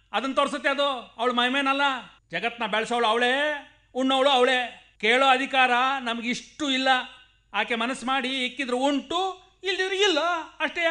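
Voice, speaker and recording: Superstitious village shepherd in a radio drama, rural karnataka accent, really high bright forceful voice, stubborn and scolding. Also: male voice. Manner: emphatic, admonishing, folksy. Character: stubborn superstitious rustic shepherd, fervent devotee of village goddess. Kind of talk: radio play acting.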